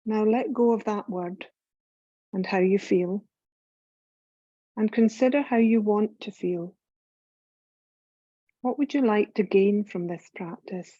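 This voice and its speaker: A woman guiding a scripted meditation as a yoga nidra teacher, calm and soothing, unhurried, with long pauses between phrases. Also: mid-range voice, no room sound, highs cut off.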